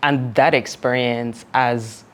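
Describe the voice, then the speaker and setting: A woman's voice: very deep voice, steady tone, takes it slowly. A Nigerian woman from Nigeria, a founder speaking as an interview guest, telling reflective stories in an earnest manner, laughing often and filling with "like" and "you know". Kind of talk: reflective conversational storytelling.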